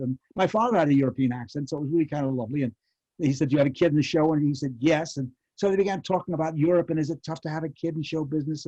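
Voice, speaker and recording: Older male panel guest, mellow and slightly higher voiced, casual halting reminiscence, muffled online-call sound. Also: husky. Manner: halting, rambling storytelling. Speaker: panel guest, former child radio actor. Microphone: video call.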